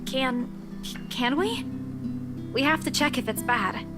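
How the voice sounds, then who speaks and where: A woman playing a feisty, guarded young teacher who angers quickly in a visual novel game, with music behind her. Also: sits high, lively intonation. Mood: guarded, uneasy.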